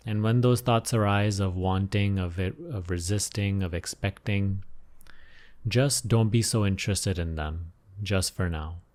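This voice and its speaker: A man leading an unscripted guided meditation as a teacher, giving gentle, unhurried instructions with pauses and repeated phrases. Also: low voice.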